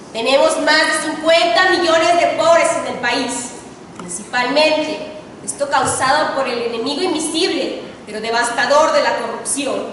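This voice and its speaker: A young woman student with a Mexican accent giving a formal, solemn oratory speech with emphatic delivery and dramatic pauses. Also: high-pitched, fairly bright tone. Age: teens to young adult. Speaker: student contestant in an oratory contest. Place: large reverberant indoor hall. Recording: echoey hall, distant mic, faint noise.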